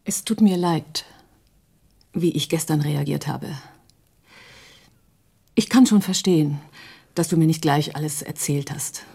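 An actress playing a soft, apologetic woman in a radio crime drama, speaking in a hushed, conciliatory way. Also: low-pitched, unhurried, gravelly, long pauses, husky, exaggerated voice.

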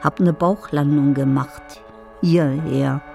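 A woman's voice: deep voice, fairly even intonation, vocal fry, a little mellow, soft background music, exaggerated voice. An actress playing a dreamy, wounded older woman in a radio drama monologue, wistful and poetic. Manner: slow, wistful, deliberate.

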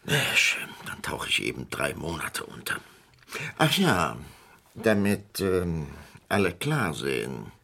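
Grumpy big boss, low gravelly voice, imperious and indignant, comic crime radio drama. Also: male voice, very animated, slow, sharp tone, full character voice. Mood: threatening. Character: heavyset, grumpy, domineering businessman staging his own death.

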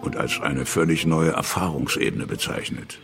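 A man's voice: a bass voice, normal speed, vocal fry. A man with pronunciation narrating a radio play from a script in a laconic, matter-of-fact way. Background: music.

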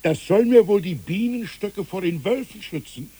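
An actor playing a gentle, fearful, whimsical middle-aged village pastor in a 1960s radio play, musing and deliberately phrased. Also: male voice, high voice, expressive, breathy edge. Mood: lively, inviting. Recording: hissy 1960s radio recording.

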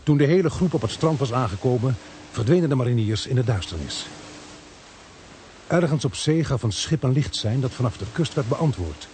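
A male actor plays an earnest protagonist who narrates a radio thriller. His mid-pitched voice moves expressively up and down while keeping a measured, suspenseful storytelling manner. There is faint noise behind him. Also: lively intonation, darkish tone, lightly acted. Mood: suspenseful narrating. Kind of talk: dramatic first-person radio play narration.

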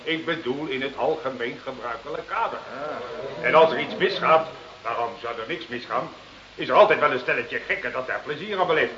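A man's voice: mid-range voice, brisk, crowd noise, close to an everyday voice. A man acting in a Dutch radio play as a sly, pompous small-town mayor, officious, hemming and full of insinuation. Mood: insinuating. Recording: muffled, echoey old radio drama recording.

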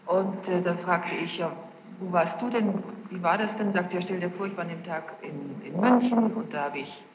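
A woman's voice: fairly low pitch, moderate pace. A woman testifying as a witness in court, defensive and precise about her wording. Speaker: witness under questioning. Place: large reverberant courtroom. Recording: muffled, noisy, echoey archival courtroom tape.

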